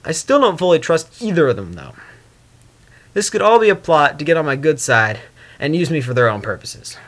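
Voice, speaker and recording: A man acts out a sardonic, self-admiring spirit of chaos from a fantasy story. He grumbles theatrically in a highish male voice, with pitch that swings widely. He sounds like a wary prisoner who mocks everyone around him. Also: big pitch swings, moderate pace, a bit bright. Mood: brooding. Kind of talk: dramatic first-person fan fiction reading.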